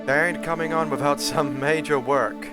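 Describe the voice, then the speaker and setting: A man's voice: fairly high pitch, moderate pace, over loud music, close to an everyday voice. A man voicing a cranky, sarcastic small reptilian alien spaceship crewman in a comic dub. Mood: matter-of-fact. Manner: sarcastic, excitable. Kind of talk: comic dub character acting.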